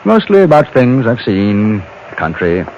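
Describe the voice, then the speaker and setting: An actor playing a courteous, unflappable gentleman correspondent in the Old West, measured and dryly amused, in old-time radio style. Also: mid-range voice, big pitch swings, a little hiss or hum. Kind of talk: old-time radio drama dialogue and first-person narration. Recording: dull old radio recording, music behind.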